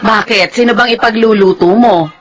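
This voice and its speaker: A woman's voice: mid-range voice, normal speed, a little hiss or hum, close to an everyday voice. An actress playing a frank, caring village woman and friend in a Filipino radio drama. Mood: teasing, brisk.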